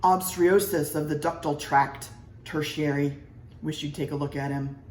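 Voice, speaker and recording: A woman's voice: low voice, steady tone, unhurried, a little mellow. Woman acting an anxious, formal male doctor. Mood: troubled. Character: flustered, grave male doctor, anxious colleague. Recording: roomy, distant mic, faint noise.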